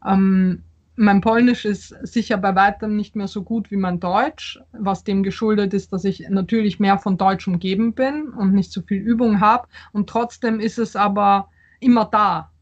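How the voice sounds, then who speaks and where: A woman whose first language was Polish answers interview questions, pausing often and restarting her sentences as she thinks aloud. The sound is slightly dull and lo-fi. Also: medium pitch, fairly even intonation. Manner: thoughtful, hesitant, many fillers and restarts. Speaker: author.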